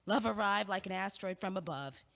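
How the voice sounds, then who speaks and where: A woman's voice: mid-range voice, brisk, vocal fry. A young actress playing a blunt, impatient student who teases and bosses her neighbor around in an audio play. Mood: bossy. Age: young adult. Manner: teasing, bossy, lively. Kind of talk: stage audio play acting. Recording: long hall reverb.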